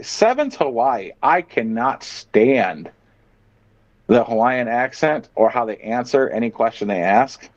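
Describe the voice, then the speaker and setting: A man's voice: mid-range voice, a little mellow. A man chatting casually as a podcast host, reading out items and reacting with profane, sardonic opinions. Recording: dull, muffled lo-fi audio.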